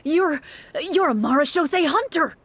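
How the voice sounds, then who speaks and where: A woman voicing an anxious, excitable townsman, flustered and exclaiming, in a video game role-play. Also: high-pitched, normal speed, pitched above the performer's own voice. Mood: flustered, overjoyed. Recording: music behind the voice.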